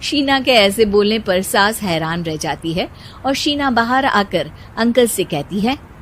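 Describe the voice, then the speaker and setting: A woman reading scripted narration for an animated moral story. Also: medium pitch, measured pace, slight background noise.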